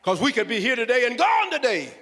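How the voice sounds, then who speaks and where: A man's voice: extremely high pitch, sing-song, fairly quick, a bit bright. A man preaching a fervent sermon with scripture, exclaiming and repeating phrases for emphasis. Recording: echoey hall with long reverb.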